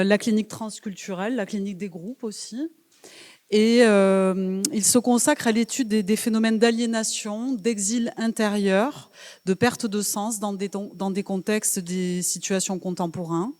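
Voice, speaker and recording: A woman's voice: mid-range voice, darkish tone, long reverb tail. A woman moderating a conference, introducing the next speaker with many hesitations and fillers.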